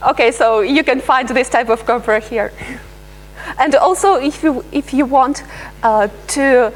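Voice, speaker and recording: A woman researcher giving a live software demonstration, pausing often with fillers and restarting her sentences. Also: sits high, rough recording. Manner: hesitant, many filler sounds and restarts. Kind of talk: live software demo talk.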